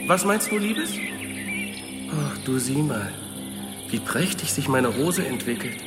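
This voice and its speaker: Radio play casting: distracted husband, pleased with himself, animated intonation, long reverb. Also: male voice, mid-range voice, takes it slowly, over loud music. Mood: self-satisfied. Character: self-satisfied, absent-minded husband and hobby gardener. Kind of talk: radio drama dialogue.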